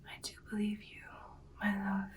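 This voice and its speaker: A woman playing a devoted, hesitant vampire girlfriend in an ASMR roleplay, speaking in a soft, breathy, halting whisper. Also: mid-range voice, monotone, distant mic, close to an everyday voice. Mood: tender, earnest. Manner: soft, halting, deliberate, intimate. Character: devoted, hesitant female vampire girlfriend plotting with her werewolf lover.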